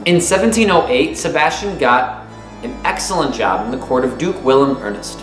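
A man in his thirties reads a children's nonfiction book aloud like a teacher, phrasing it expressively and clearly for young listeners. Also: mid-range voice, animated, moderate pace. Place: small room at home, indoors. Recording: roomy echo with music behind. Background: music.

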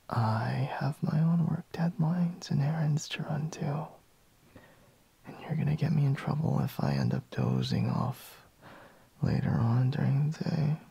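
A man playing a sleepy, reluctant, teasing boyfriend in an intimate ASMR roleplay, murmuring drowsily in a breathy half-whisper. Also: mid-range voice, mellow, no echo, full character voice. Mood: drowsy, reluctant. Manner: slow, drowsy murmur with sighs and pauses.

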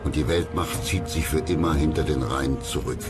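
A man's voice: rumbling low, moderate pace. A man narrating a documentary from a script in a solemn, dramatic storytelling manner. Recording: music loud behind the voice. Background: loud background music.

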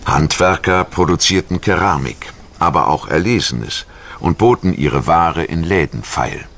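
A man reading a scripted history documentary narration in a measured, articulate storytelling manner. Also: very deep voice, expressive, gravelly, fairly bright tone. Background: music.

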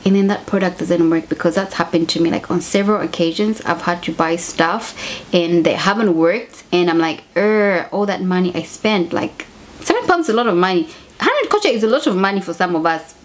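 A woman's voice: lowish voice, expressive, brisk. A young woman from Zambia, talking to camera in a casual vlog, chatty and often correcting herself mid-sentence. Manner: chatty, self-correcting, conversational. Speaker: YouTuber. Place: home room with bookshelves. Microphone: camera at desk distance.

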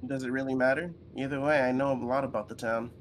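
A man's voice: mid-range voice, fairly even intonation, quick pace, slight background noise, close to an everyday voice. A man voicing a blunt, curt male lizard friend in a visual novel game. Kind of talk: voice-acting visual novel dialogue.

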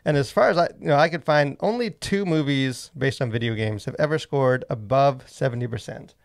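A man's voice: mid-range voice, a little fast, gravelly. A man hosting a casual podcast, rambling with many asides into a close studio mic. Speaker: podcast host and movie reviewer. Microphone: close boom-arm studio mic.

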